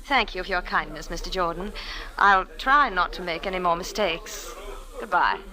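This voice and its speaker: Woman tourist, vintage radio drama: clear bright tone, polite, inquisitive, quick to apologize. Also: on the low side, poor audio. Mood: friendly, apologetic. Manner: polite, slightly flustered. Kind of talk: old-time radio drama acting.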